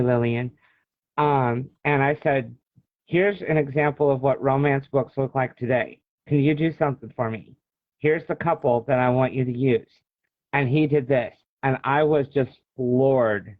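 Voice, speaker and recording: A man's voice: on the high side, dry recording. An American man in his early forties, an author chatting casually as the guest in an interview. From Illinois, United States. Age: early 40s. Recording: thin, phone-like video call sound.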